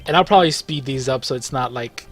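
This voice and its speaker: A man's voice: mid-range voice, fast, a bit bright, faint music behind. A man giving casual, rambling commentary over a mobile game run, full of fillers and self-corrections as he walks viewers through it. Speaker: gaming video host.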